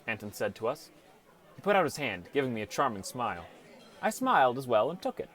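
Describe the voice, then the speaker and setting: A man narrates a story in the first person. His voice has an average pitch, and he reads as a scripted audiobook narrator. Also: big pitch swings, fairly quick, a bit bright.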